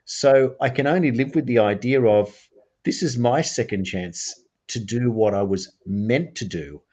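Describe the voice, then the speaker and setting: A man's voice: mid-range voice, measured pace, darkish tone. A man hosting a reflective spiritual discussion, speaking earnestly and emphatically with rhetorical questions. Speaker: interview host.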